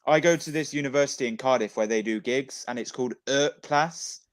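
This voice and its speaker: A man's voice: mid-range voice, fairly quick. Chatty young man from Wales. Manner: chatty, blurts out loud reactions to goals. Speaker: co-host of a football watchalong. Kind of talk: casual chat while watching a match.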